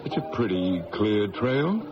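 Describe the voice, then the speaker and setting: Low-voiced, shrewd judge. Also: male voice, sing-song. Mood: probing, calm. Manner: measured, probing, dry. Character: shrewd, dryly sardonic judge investigating a killing. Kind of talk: old-time radio drama acting. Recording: dull radio broadcast with music behind.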